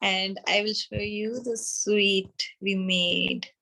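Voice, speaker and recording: A woman in her thirties with an Indian accent, teaching an informal online class in a halting way, checking in as she goes. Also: mid-range voice, steady tone, vocal fry, bright, forceful tone, low-quality recording. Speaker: anatomy teacher at a yoga school. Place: small room with a whiteboard, indoors. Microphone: device camera a short distance away, video call.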